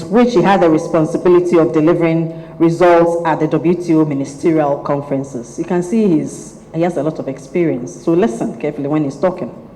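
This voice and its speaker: A woman reading a formal introduction of a guest speaker at a lecture, pausing at times to check a name. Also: fairly low pitch, mellow. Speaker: event moderator. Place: lecture hall. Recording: long hall reverb.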